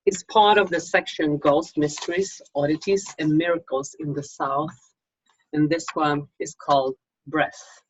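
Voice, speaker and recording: Slow, husky woman with a Swedish accent. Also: low-pitched, fairly even intonation. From Sweden. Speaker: magazine co-publisher and author reading her own story. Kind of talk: informal introduction, then slow literary story reading. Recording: dull lo-fi microphone sound.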